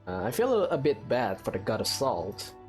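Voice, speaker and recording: A male gaming creator talking casually through a playthrough, with lively swings in pitch. He is informal and a little hesitant, rephrasing his thoughts as he goes, with faint game music behind him. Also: normal speed. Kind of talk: casual playthrough commentary and review.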